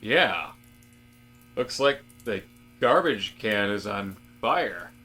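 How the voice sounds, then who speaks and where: A man playing a mild, agreeable office worker in a deadpan comic audio drama, talking tentatively. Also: fairly low pitch, faint music behind, pitched below the performer's own voice, heavily acted. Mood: vague, wondering. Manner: tentative, drawn-out, trailing. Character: Robert, agreeable, mild office man, easily awed and musing.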